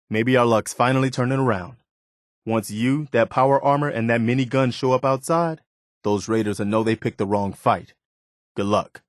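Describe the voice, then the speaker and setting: An actor playing an earnest, principled militia officer and loyal companion in a video game, speaking in a sincere, measured, plain-spoken way. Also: male voice, on the low side, no room sound, studio quality, natural voice. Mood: resolute, briefing. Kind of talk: acted video game dialogue.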